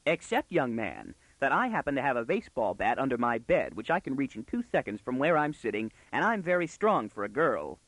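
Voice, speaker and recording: Man voicing a cheeky, lively young girl. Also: medium pitch, brisk, few pauses, dry recording, rough recording. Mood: smug, bossy. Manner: prim, bossy, indignant. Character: precocious, cheeky, self-assured young girl.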